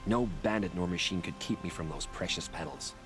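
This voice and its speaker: An actor playing a romantic merchant of wild flowers in a video game, speaking in florid, theatrical, gallant phrases. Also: male voice, sits low, a little fast, music in the background, natural voice. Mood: wistful, proud. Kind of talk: video game character dialogue.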